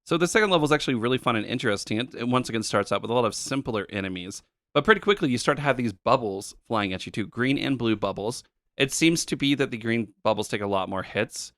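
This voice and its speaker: A man co-hosting a podcast, casually walking through retro game levels with a relaxed, explanatory manner and plenty of fillers. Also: medium pitch, fast, dry recording, clean studio sound. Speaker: podcast co-host. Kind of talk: casual chat about retro games.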